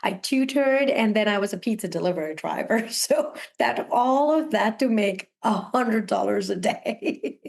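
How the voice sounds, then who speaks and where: A woman's voice: medium pitch, expressive, unhurried, gravelly, darkish tone. Earnest young woman from Sri Lanka. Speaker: guest. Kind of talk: casual interview conversation. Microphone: video call.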